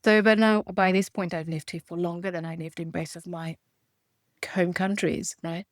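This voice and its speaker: Gravelly low-voiced woman guest. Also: fairly even intonation, moderate pace, no room sound. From Romania and Hungary. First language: Hungarian. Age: 40s. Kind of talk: conversational interview.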